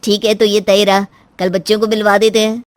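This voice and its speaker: A woman's voice: medium pitch, flat delivery, fairly quick, dry recording, natural voice. Agreeable middle-aged parent for a moral tale, acted by a woman, polite, mid-range, dry sound. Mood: polite, pleased. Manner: courteous, agreeable. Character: polite middle-aged relative arranging her daughter's marriage.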